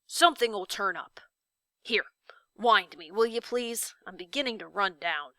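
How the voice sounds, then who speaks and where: Storybook animal role: gruff loyal dog, voiced by a woman, growly, steady, comforting. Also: medium pitch, unhurried, no echo. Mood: reassuring. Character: gruff, reassuring male watchdog, loyal and steady. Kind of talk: children's storybook read-aloud.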